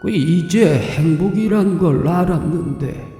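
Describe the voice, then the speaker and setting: Slow, echoing otherworldly old man played by a man. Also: sits high, warm tone. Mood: calm, solemn. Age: older. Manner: slow, measured, philosophical. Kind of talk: audio drama acting. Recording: long cavernous reverb, music behind.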